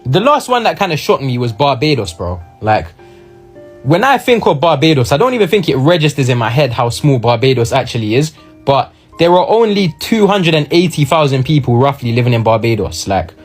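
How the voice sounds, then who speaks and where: A man's voice: mid-range voice, big pitch swings, brisk, soft background music. A man chatting casually and incredulously to camera.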